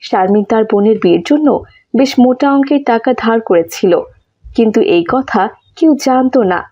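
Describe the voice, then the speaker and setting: A woman's voice: a little high, normal speed, dark tone, muffled recording. A woman narrating a scripted moral story for children as a storyteller.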